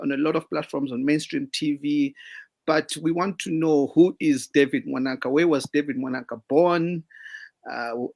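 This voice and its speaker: A man's voice: on the high side, creaky. A man with a Zimbabwean accent hosting an online interview show, formal and full of fillers and restarts. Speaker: host.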